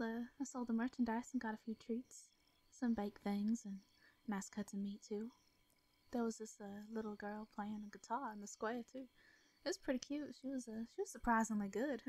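A woman voicing a gentle Southern farmer woman. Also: fairly high pitch. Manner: soft, stammering, frequent light laughs. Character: gentle hermit farmer woman, caring and shy. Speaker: voice actor in audio roleplay. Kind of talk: soft comforting audio roleplay.

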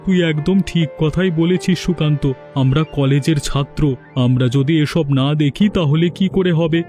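A man's voice: fairly high pitch, moderate pace, warm tone, faint music behind, lightly acted. A man voicing an earnest, cautious young male college student in a cartoon.

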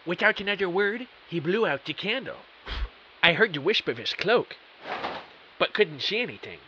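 A man's voice: sits high, lively intonation, moderate pace. A man playing a bewildered computer technician who narrates his own mystery audio drama with earnest, melodramatic emphasis. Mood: dramatic, intrigued. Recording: dull, hissy audio.

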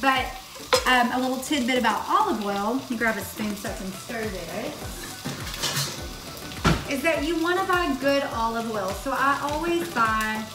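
A woman's voice: on the high side, expressive, normal speed. A woman in her thirties chatting casually through a cooking demonstration as she works. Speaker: host of a cooking show. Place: home kitchen, indoors. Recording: echoey kitchen, far from mic, music bed.

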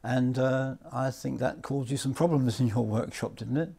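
A man in his seventies with an English accent, asking measured questions as an interviewer. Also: medium pitch, vocal fry, warm tone, breathy edge. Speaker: interviewer, audio journalist. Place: indoor hi-fi demonstration room. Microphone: lapel mic.

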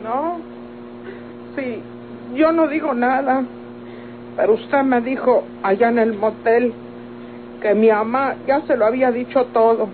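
A woman's voice: fairly high pitch, a little slow, long pauses, lightly acted. A woman playing a naive, indignant young village woman in a Mexican radio soap opera, with a Mexican accent and plaintive, rustic speech. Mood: sheepish. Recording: tinny old radio recording, faint noise.

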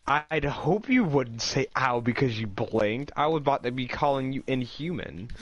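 A young man rambling and joking over a game in a relaxed, mid-pitched voice. The audio sounds a little dull and lo-fi. He drifts from one tangent to the next. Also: normal speed, slight background noise. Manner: joking, rambling, plays with his voice. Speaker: gamer. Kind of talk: casual gaming chat.

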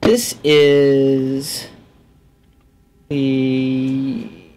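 A man in his thirties giving casual game commentary, thinking aloud in a halting, musing, bemused way into a headset mic. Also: mid-range voice, completely flat delivery, mellow. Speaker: gaming video host. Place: indoor room at a desk.

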